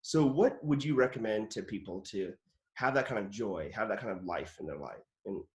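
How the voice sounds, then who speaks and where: A man's voice: lowish voice, animated, normal speed. Chatty enthusiastic man in an echoey room. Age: late 30s. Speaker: host, actor.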